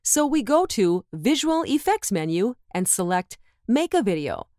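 A woman narrates a scripted tutorial, giving step-by-step instructions. Her pitch moves up and down in an animated way. The recording is dry, as from a close voice-over microphone. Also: measured pace, no echo.

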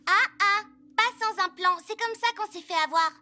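Bright, piping girl superhero. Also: extremely high pitch, moderate pace, heavily acted. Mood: scolding. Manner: firm, reasoning. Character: sensible bossy little girl superhero. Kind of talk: French cartoon dubbing.

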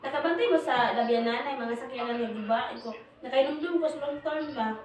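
A woman's voice: high voice, quick pace. A young adult woman vlogger chatting playfully with friends in a large stone-walled room, teasing and laughing often. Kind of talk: casual chat with friends. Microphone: far camera. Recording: echoey room, far from mic.

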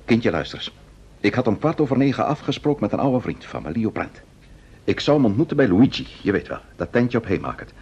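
Husky, animated gentleman detective. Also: on the low side, a little fast, full character voice. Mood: brisk, indulgent. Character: assured amateur detective husband, brisk, gently patronising. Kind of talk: vintage Dutch radio play acting. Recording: dull vintage radio drama recording.

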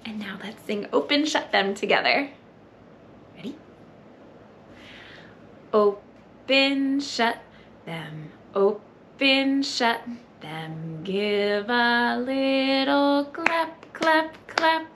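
A woman with a fairly high voice leads a story time for young children. She speaks very slowly and expressively, slipping into hushed asides. There is noticeable noise in the room behind her. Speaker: library story time host. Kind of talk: children's story time read-aloud and sing-along. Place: children's library room, indoors.

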